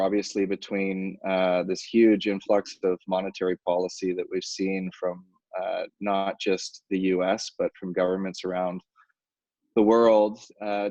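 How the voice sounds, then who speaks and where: A man giving a market update on a conference call, halting and full of fillers. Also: deep voice, darkish tone, no echo. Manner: halting, many fillers and restarts. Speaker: financial advisor. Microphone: phone or conference line. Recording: dull lo-fi conference call audio.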